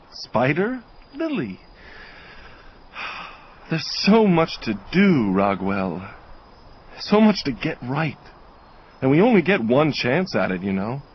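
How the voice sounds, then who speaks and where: An actor playing an earnest, fretful angel in an audio drama, hesitant and anxiously dutiful. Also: male voice, a little high, slight background noise, full character voice. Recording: slightly dull sound with faint music.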